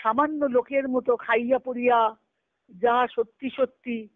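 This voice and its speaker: A woman's voice: a little high, fairly even intonation, measured pace, no room sound. A woman on a tinny conference call, reading letters aloud and explaining them didactically as she repeats phrases. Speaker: spiritual discussion speaker. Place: speaking on a group conference call. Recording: tinny, band-limited conference phone line.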